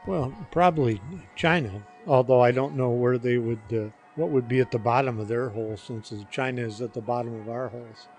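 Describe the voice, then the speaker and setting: An older man answers questions as a guest, in a medium-pitched voice. He talks haltingly and casually with dry humour, over faint music. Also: darkish tone. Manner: halting, dryly joking. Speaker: interview guest, father of the hosts.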